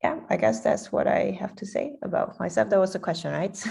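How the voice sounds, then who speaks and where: A woman's voice: mid-range voice, sing-song, moderate pace, gravelly, a little mellow, breathy edge. A woman in her thirties from Hong Kong, answering interview questions in a hesitant, casual way with many fillers. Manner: hesitant, many ums, laughs lightly. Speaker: guest speaker.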